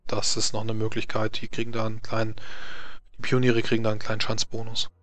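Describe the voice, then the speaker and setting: A man's voice: fairly low pitch, completely flat delivery, fast talker, fairly bright tone, dry recording. A man speaking as a game master, explaining tabletop game rules in a conversational way.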